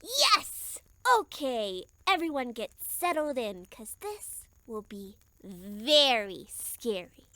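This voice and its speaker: A woman's voice: on the high side, very animated, slow, hardly any pauses, sharp tone, dry recording, heavily acted. A woman voicing a sweet, eager young girl camper in a light-hearted audio drama, gushing with childlike enthusiasm. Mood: excited, eager.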